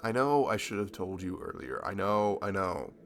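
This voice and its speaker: A man acting a nervous, smitten young man in an intimate ASMR roleplay, speaking in a halting, stammering, bashful way. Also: deep voice, very animated, vocal fry, a little hiss or hum, heavily acted. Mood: anxious, apologetic. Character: nervous, smitten young man confessing to his childhood best friend.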